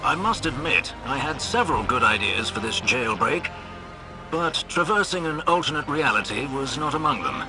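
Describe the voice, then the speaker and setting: An actor playing a courteous, drily understated older gentleman scout with a British accent in a video game. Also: medium pitch, animated, moderate pace, natural voice, rough recording. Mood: bemused. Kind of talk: cinematic game voice acting. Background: music.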